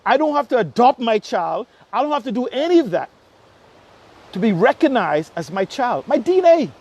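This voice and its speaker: A man with a Bahamian accent speaks in a notably high voice for a male. He comes across as an impassioned political commentator. His delivery is emphatic, leaning on repetition and pointed rhetorical questions to press each point. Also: big pitch swings, normal speed.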